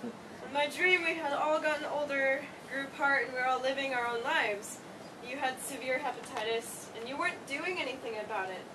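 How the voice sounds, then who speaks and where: A woman's voice: high-pitched, moderate pace, lightly acted. A woman in a staged play reading, playing an emotional college-age daughter who pleads with her mother. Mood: earnest. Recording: distant, noisy live stage recording.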